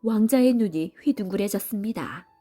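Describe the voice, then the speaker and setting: A woman's voice: medium pitch, animated, moderate pace, mellow, soft background music. A woman storyteller giving scripted storybook narration for children.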